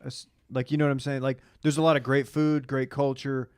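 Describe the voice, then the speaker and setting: A man from Dallas talks as a podcast co-host in casual, conversational banter. His voice sits at a middling pitch, recorded close and dry. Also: flat delivery, brisk, a little mellow, smooth, no echo. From Dallas, Texas. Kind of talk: casual banter recapping reality TV.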